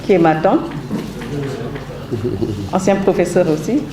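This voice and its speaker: A woman, slightly congested from a cold, giving a formal, measured thank-you speech as an author, with hesitant fillers. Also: lowish voice, crowd noise. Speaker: author presenting her book. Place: event hall. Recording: roomy hall with background noise.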